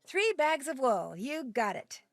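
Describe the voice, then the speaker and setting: A woman's voice: high voice, big pitch swings, measured pace, dry recording, clean studio sound, heavily acted. An actress playing a curious, upbeat young woman detective in a video game, speaking politely and confidently. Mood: eager. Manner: polite, eager, inquisitive. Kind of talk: video game character dialogue. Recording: music playing behind the voice.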